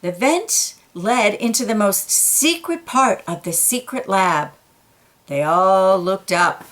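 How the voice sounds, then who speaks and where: Slow, gentle woman reading a picture book. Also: medium pitch. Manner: slow, gentle, pointing out pictures in quiet asides. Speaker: children's book reader.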